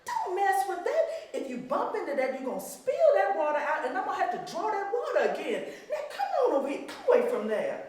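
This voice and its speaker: A woman's voice: very high-pitched, huge pitch swings, brisk, darkish tone, pitched above the performer's own voice, full character voice. A woman playing a loving, patient mother who coaxes her little girl with affectionate exclamations. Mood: coaxing. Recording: echoey hall, mic far off.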